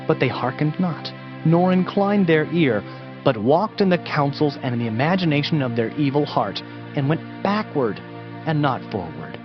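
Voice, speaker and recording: A man's voice: fairly high pitch, sing-song, normal speed. A man narrating a dramatized Bible reading in a solemn, emphatic, declamatory manner. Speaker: scripture narrator. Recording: slightly muffled, music underneath.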